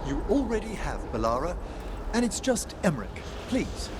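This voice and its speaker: A man's voice: sits high, big pitch swings, normal speed, background noise. An actor playing a courteous, scholarly necromancer professor in a video game, a middle-aged man with formal, eloquent speech. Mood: gentle, polite. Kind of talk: video game character acting.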